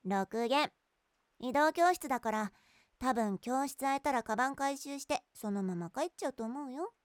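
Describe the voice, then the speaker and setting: 女性声優が、のんびりしていて人をからかうのが好きな女子高生を演じている。. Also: female voice, sits high, lively intonation, normal speed, no echo, studio quality. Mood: easygoing. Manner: playful, teasing. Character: teasing, easygoing high school girl. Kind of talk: voice drama acting.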